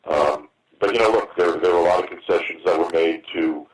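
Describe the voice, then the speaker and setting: Deep-voiced man in his fifties, halting. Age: 50s. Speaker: boxing promoter taking reporters' questions. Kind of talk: conference call interview answers. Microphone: phone on a conference call. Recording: roomy, distant, noisy teleconference phone line.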